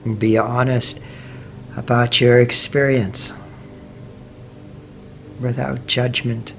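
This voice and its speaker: A man leading a guided meditation in a gentle, measured way, pausing often between short phrases. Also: mid-range voice, flat delivery. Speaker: meditation teacher. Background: soft meditation music.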